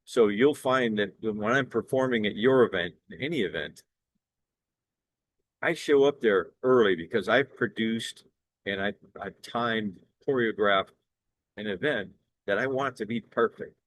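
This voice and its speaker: An older man from Cleveland with a bass baritone voice, pitching his work as an event singer in an upbeat, earnest way. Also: a little slow, fairly bright tone, no echo, low-quality recording. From Cleveland, Ohio, USA. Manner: upbeat, earnest salesman talk with small restarts. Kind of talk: promotional direct-to-camera pitch.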